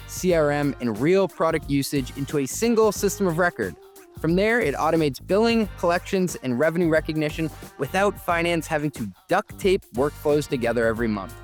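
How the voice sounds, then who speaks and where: A man co-hosting a business podcast, talking casually in informal, colloquial language. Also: fairly high pitch. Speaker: podcast co-host.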